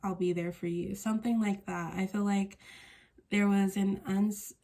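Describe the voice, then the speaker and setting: A stuffy-nosed woman hosts a casual tarot reading in a mellow, flat tone. She meanders, searching for words and revising herself mid-thought. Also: mid-range voice, normal speed, a little hiss or hum. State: congested, stuffy nose. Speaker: tarot card reader.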